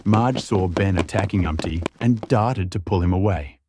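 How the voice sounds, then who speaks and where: A man's voice: sits low, normal speed, very noisy. A man reading scripted fantasy fiction as a storyteller, in a dramatic narration style.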